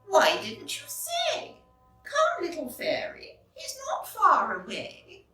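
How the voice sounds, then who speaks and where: A woman's voice: extremely high pitch, wildly swooping pitch, slow pace, bright, forceful tone, roomy sound, distant mic, soft background music, voice pushed up, full character voice. A woman voicing a kindly, regal old lady in a children's storybook, with a gracious, lilting manner.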